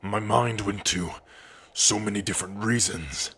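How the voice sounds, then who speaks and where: A man playing a big, devoted, protective orc husband in an intimate ASMR roleplay, speaking tenderly in a husky, breathy voice with sighs and pauses. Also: fairly low pitch, fairly bright tone, slight background noise. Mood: relieved, loving. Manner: slow, tender, sighing, with pauses.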